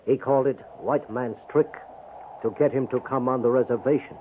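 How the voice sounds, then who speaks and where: An actor playing a solemn messenger in a 1950s radio western, speaking plainly and with measured delivery. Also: male voice, medium pitch, steady tone, narrow, tinny audio, lightly acted. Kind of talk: 1950s radio drama acting. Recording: thin, faintly noisy 1950s radio recording.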